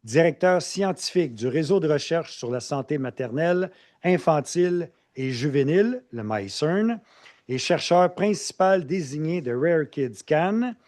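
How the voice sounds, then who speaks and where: Un homme anime une journée scientifique et présente les intervenants sur un ton formel et cérémonieux. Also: male voice, fairly high pitch, animated, a little slow, a little mellow. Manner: formal, ceremonious introductions. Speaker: event emcee. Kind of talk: formal event hosting. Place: conference hall.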